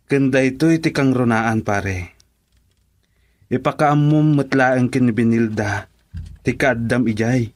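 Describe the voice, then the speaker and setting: A man doing an Ilocano friend, earnest, persuasive, mid-pitched, dry close sound. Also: measured pace, long pauses, dry recording, lightly acted. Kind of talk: radio drama acting.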